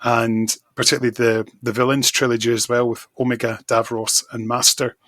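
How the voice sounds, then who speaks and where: A man's voice: mid-range voice, flat delivery, fairly quick, a bit bright, dry recording. A man chatting effusively as an enthusiastic podcast guest in a casual fan discussion. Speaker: podcast guest, magazine editor.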